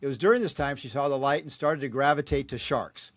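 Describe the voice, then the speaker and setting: A man's voice: medium pitch, fast talker, no echo. A man hosting a podcast, reading his guest's introduction and joking about names he can't pronounce. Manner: friendly, chuckles at his own asides. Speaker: podcast host. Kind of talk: reading a guest introduction, then casual interview.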